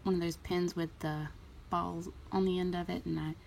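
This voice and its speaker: A woman in a relaxed, chatty way explaining a nail art tutorial, with plenty of ums. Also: lowish voice, normal speed, creaky, slight background noise. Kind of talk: casual tutorial talk.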